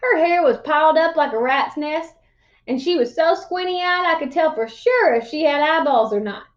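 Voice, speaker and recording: Woman as a folksy old storyteller man, high-voiced. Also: animated, muffled recording. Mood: folksy, earnest. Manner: folksy, emphatic, drawn-out exaggeration. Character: crotchety old country man spinning tall tales. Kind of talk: storybook read-aloud character voice.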